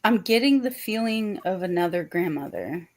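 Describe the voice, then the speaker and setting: A woman from Virginia with a faint Southern accent, giving a gentle, tentative psychic reading in casual livestream chat. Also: on the low side, expressive, moderate pace, a little hiss or hum. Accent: Southern US, subtle. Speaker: psychic medium host.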